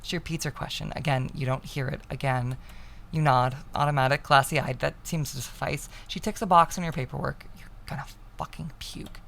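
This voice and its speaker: A woman reading a visual novel aloud as dramatic narration, with hushed, breathy phrase endings. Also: rumbling low, fairly even intonation, moderate pace. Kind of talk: dramatic second-person story narration.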